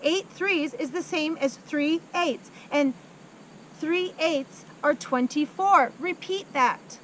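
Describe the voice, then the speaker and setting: A woman voicing a huge, brutal headmistress who bellows slow, heavy insults at a child in a storybook reading. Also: high voice, expressive, slight background noise. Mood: bullying.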